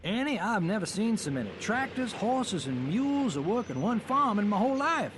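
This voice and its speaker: An actor playing an astonished poor moonshining farmer with a rural American accent in a radio drama. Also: male voice, sits high, very animated, normal speed, background noise.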